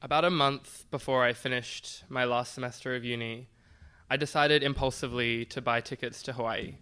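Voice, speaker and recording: A man's voice: medium pitch, steady tone, normal speed, sharp tone, slight background noise. A man performing a scripted comic personal story, wry and self-deprecating.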